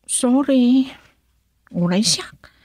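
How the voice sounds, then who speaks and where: An actress with an Ilocano accent playing a busy, apologetic working wife and schoolteacher in a radio drama. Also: female voice, on the high side, lively intonation, drawn-out words, darkish tone, no room sound, full character voice, low-quality recording.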